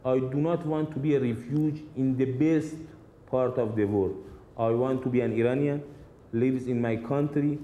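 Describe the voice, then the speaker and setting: A man in his forties with a Persian accent, a hospital director speaking earnestly and deliberately in an interview in an office. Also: medium pitch, steady tone, mellow. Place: office, indoors. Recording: dull roomy office sound.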